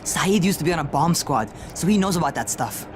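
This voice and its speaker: A man's voice: a little high, expressive, brisk, noisy background, lightly acted. An actor playing a headstrong, reckless young man in a video game, speaking informally and impulsively. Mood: excited. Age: young adult.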